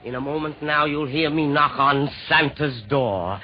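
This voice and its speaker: An actor playing a villainous, pompous Roman emperor, declaiming rhymed verse in old-time radio comedy style. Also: male voice, on the high side, a little slow, lightly acted. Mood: excited declaiming. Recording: dull, noisy vintage radio recording.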